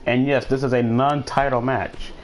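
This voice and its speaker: A man's voice: medium pitch, level tone, moderate pace. A man chatting casually as he hosts a live gaming stream and commentates on the action, often repeating phrases for emphasis.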